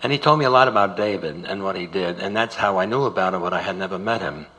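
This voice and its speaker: An older man speaking off the cuff as a guest, reminiscing in a halting, hesitant way. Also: deep voice, pushed and bright, rough recording. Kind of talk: unscripted personal reminiscence on stage.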